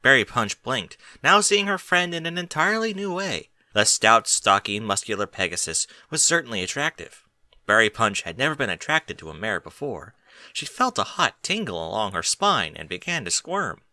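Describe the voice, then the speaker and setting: A man's voice: mid-range voice, sing-song, normal speed, bright, forceful tone, no room sound. A man reading a story aloud as scripted narration. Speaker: audiobook reader of fan fiction.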